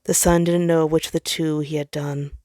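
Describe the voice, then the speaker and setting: A woman's voice: sits low, flat delivery, normal speed, mellow, dry recording, studio quality. A woman reading fan fiction aloud as narrator, in a dramatic storytelling style.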